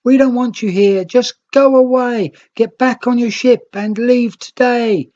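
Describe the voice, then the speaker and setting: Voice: medium pitch, steady tone, a little slow, darkish tone, muffled recording, pitched above the performer's own voice, lightly acted. A storybook narrator voicing grumpy, stubborn island creatures who scold indignantly. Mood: outraged.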